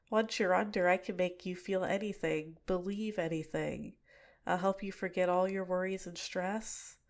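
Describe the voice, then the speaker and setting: A young woman voicing a cute but manipulative female villain in a soft-spoken, teasing ASMR roleplay, recorded close to the mic. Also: fairly low pitch, lightly acted.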